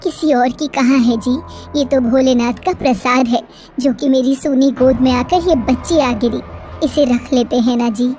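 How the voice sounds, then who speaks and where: A woman voicing a doting young village wife in a cartoon story, soft and coaxing. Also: high voice, fairly even intonation, moderate pace, faint music behind, close to an everyday voice.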